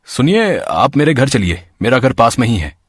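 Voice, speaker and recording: A man plays a kind young city passerby in a cartoon moral tale. His voice is husky and close, with a dry sound and a helpful manner. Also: medium pitch, very animated, normal speed, no echo. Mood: concerned, reassuring. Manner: exclaiming, helpful.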